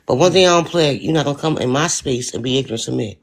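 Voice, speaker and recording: A woman with a deep, raspy voice, talking bluntly as a panelist in a casual live-stream discussion. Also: fast talker, slight background noise. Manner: blunt, talks in long stories.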